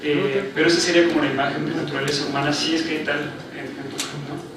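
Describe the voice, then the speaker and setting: A somewhat high-voiced man lectures reflectively, pausing on fillers and checking in with the room. The sound comes from far away in a very echoey lecture hall, with a layer of noise. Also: steady tone. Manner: reflective, with frequent fillers and questioning tags. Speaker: book presentation panelist. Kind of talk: academic lecture talk.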